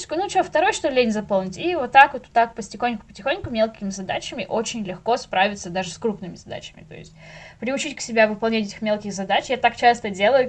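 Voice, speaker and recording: A young woman chatting casually as a podcast guest, telling things in slangy, animated speech with the odd English word. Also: on the high side. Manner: animated storyteller, acts out little inner dialogues; slangy, drops in English words.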